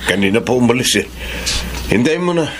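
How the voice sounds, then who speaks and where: A man's voice: mid-range voice, expressive, brisk, a bit bright, slight background noise, close to an everyday voice. An actor playing an easygoing, kindly family man in a Filipino radio drama. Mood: friendly, approving.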